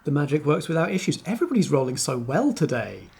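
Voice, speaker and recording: A man acting as game master, narrating a tabletop roleplaying game descriptively, with deliberate pauses between phrases. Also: a little high, very animated, gravelly, over a noisy crowd.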